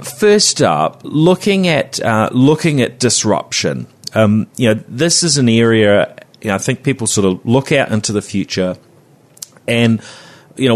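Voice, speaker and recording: A man hosting a podcast, talking in a loose, rambling way full of "you know" fillers. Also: on the low side. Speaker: podcast host. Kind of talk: casual interview chat.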